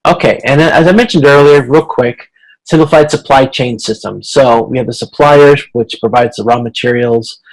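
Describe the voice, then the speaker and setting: Man lecturing informally, halting delivery. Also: mid-range voice, low-quality recording. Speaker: college instructor. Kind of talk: video conference lecture explaining slides, informal.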